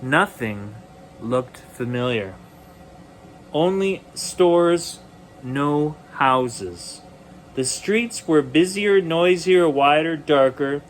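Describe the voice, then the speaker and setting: A man reading a children's bedtime story aloud expressively, with deliberate dramatic pauses. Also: fairly high pitch, animated, a little hiss or hum.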